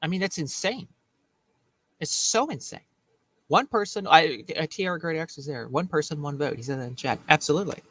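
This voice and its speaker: A man's voice: fairly high pitch, fairly quick, a bit bright, no room sound. A man co-hosting a casual chat, reacting in an emphatic, exclamatory way.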